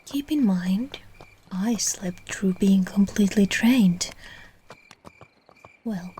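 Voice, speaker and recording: A woman playing a caring, soothing vampire owner in an ASMR roleplay, speaking in a soft, breathy whisper with tender reassurance. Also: mid-range voice, slow pace, slight background noise, lightly acted. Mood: tender, reassuring.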